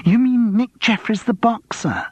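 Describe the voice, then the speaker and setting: A man voicing an excitable, flirty, gossipy woman in an audiobook, gushing and squealing. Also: on the high side, a little slow, breathy edge, dry recording, voice pushed up, full character voice. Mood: thrilled, saucy. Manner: squealing, gushing, stage-whispered. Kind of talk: audiobook character dialogue.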